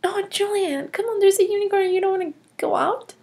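A woman's voice: extremely high pitch, fairly quick, a little mellow. Hannah, woman doing a gasping curious girl. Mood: insistent. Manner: gasping, whispering wonder. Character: curious cheerful girl on a ski holiday. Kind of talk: toy play storytelling.

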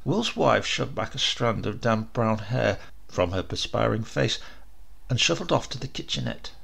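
A man's voice: mid-range voice, sing-song, normal speed, creaky, a bit bright, slightly rough. A man narrating a short story as a scripted, dramatic audiobook reading.

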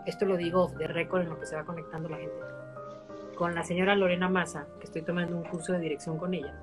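A woman's voice: on the low side, fast, over music. Chatty woman hosting a live chat. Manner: chatty, apologetic, animated. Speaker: interviewer host. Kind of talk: casual live-stream conversation. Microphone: online live-stream.

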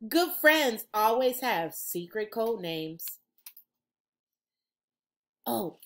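A middle-aged woman reading a children's storybook aloud like a teacher, with dramatic, drawn-out emphasis. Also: a little high. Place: room at home. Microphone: video call, computer at desk distance.

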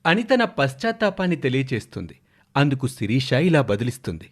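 A man narrates a family drama as a storyteller. His mid-pitched voice moves up and down in a very lively way as he reads the scripted narration. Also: a little fast.

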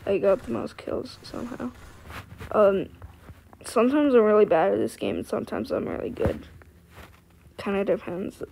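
A woman with a scratchy, quiet voice, rambling casually while playing a game. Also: medium pitch, moderate pace, creaky, pauses often. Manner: quiet, soft-spoken, trailing off. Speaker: gaming video creator. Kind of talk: rambling casual commentary over gameplay.

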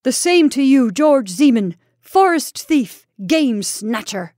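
A woman's voice: sits high, sing-song, takes it slowly, darkish tone, no room sound, clean studio sound, pitched above the performer's own voice, heavily acted. A woman reading aloud, voicing a proud, fierce man trapped under a fallen tree, speaking in a raised, indignant way. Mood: furious. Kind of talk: storybook reading.

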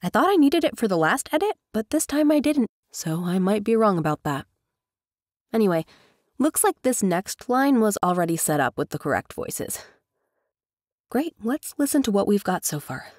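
A woman giving a casual, chatty software tutorial voice-over as host. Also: medium pitch, very animated, normal speed, no room sound, studio quality. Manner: informal, chatty. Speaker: tutorial host, professional narrator.

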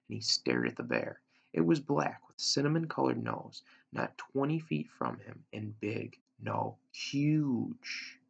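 Gravelly man reading a story aloud. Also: on the low side, a bit bright. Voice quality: gravelly, husky. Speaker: teacher reading a book aloud. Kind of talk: read-aloud storytelling narration. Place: small room indoors at home. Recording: dull, lo-fi webcam sound.

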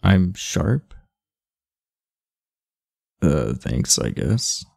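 A man acts out a sleepy, irritable delinquent schoolboy in an intimate ASMR roleplay. His deep voice is husky and slow, yet it moves around a lot in pitch. He talks straight to the listener, blunt, sardonic and guarded. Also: big pitch swings, a little mellow, no echo, clean studio sound, full character voice. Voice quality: gravelly, low. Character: aloof, irritable, sleepy teenage boy, class delinquent.

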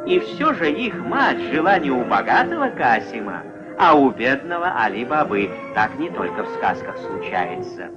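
A man narrating a puppet-film fairy tale as a wry, measured, expressive storyteller. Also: mid-range voice, close to an everyday voice. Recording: dull old film soundtrack, music behind.

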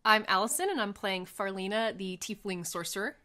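Female tabletop player, average pitch, in-character fantasy roleplay chat. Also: brisk, pushed and bright.

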